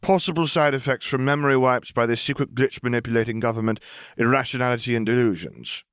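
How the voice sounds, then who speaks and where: A man's voice: mid-range voice, fast, a bit bright, clear, resonant tone, no room sound, clean studio sound, voice pushed up, lightly acted. A man voicing a precocious, matter-of-fact little girl with a clinical, self-assured manner in a story reading.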